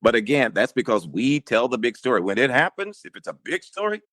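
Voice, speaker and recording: A man who anchors radio news, talking casually in an interview, telling stories with emphatic, animated asides. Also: medium pitch, fairly quick, no echo.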